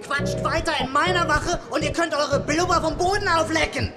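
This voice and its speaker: An actor playing a bullying male prison guard, shouting commands like a drill sergeant in a radio drama. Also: very high-pitched, sing-song, moderate pace, roomy sound, long reverb tail, over music, heavily acted. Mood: aggressive.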